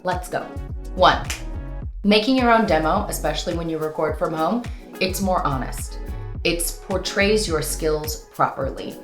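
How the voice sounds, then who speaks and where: A woman's voice: on the low side, measured pace, roomy sound, soft background music. A woman hosting an upbeat instructional vlog, talking informally and playfully with theatrical, sing-song flourishes. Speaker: host and voice-over coach.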